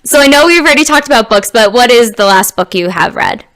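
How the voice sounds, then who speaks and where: A woman's voice: fairly high pitch, lively intonation, quick pace, fairly bright tone. A woman hosting an interview, bubbly and affirming, laughing often between questions. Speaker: interview host. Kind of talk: casual interview chat.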